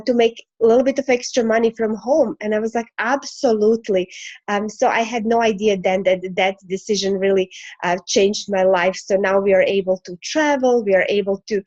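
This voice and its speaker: Woman from croatia hosting a zoom sales pitch, lots of ums, dry muffled call audio. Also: a little mellow, dry recording. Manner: many fillers and restarts. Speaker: host of a sales presentation. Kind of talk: online business presentation. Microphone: video call.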